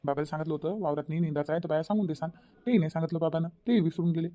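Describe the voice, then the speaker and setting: Woman voicing a low-pitched, quick-talking village man. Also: steady tone, dark tone, close to an everyday voice. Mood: complaining. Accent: Varhadi Marathi of Vidarbha, India. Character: mild, agreeable village men, father and grown sons. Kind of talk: Marathi family cartoon story acting. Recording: slightly dull, lo-fi sound.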